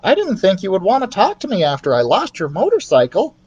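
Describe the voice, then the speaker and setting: A man voicing an eager, impulsive young male mouse in an excitable, earnest storybook read-aloud. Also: sits high, brisk, slightly rough, lo-fi sound, voice pushed up, heavily acted. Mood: sheepish, apologetic.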